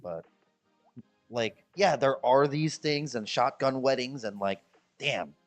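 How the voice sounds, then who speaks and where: Man, Midwest American accent, casual streamer chat, rambling, jokey, mid-pitched, faint music behind. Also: takes it slowly. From Chicago, US Midwest. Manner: rambling, jokey, repeats himself, mimics people in high voices. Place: the Philippines.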